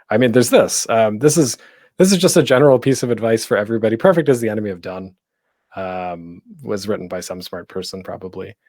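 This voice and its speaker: A man's voice: lowish voice, fairly quick, darkish tone. A man presenting a casual online panel as a podcaster, speaking off the cuff in a rambling, self-deprecating, jokey way.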